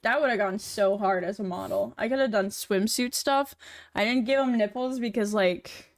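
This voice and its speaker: A woman's voice: medium pitch, moderate pace. Young female streamer, feminine voice, casual rambling chat with many fillers. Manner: rambling, filler-heavy, chatty. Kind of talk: casual chat while working on a model.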